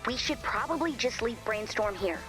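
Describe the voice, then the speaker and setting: A woman's voice: a little high, lively intonation, moderate pace, music in the background, close to an everyday voice. An actress voicing a blunt, sardonic young woman in a spooky video game, with dry, teasing delivery.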